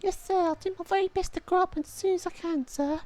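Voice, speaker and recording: A man's voice: very high voice, fairly even intonation, a little fast, darkish tone, pitched above the performer's own voice. A man voicing an eager, polite young boy who is easily indignant, in a video game dub.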